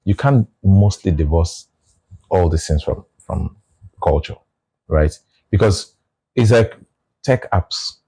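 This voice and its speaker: A Nigerian man talking through his views in a relaxed conversation, often restarting his phrases. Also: deep voice, slow, long pauses, breathy edge, poor audio. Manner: reflective, restarts phrases, explains with examples. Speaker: guest. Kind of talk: casual conversation.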